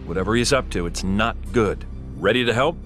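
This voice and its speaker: Briefing hero male actor: low, resolute, commanding, terse lines, video game cutscene with music behind. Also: a little fast, natural voice. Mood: urgent. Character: urgent, commanding male superhero giving mission briefings.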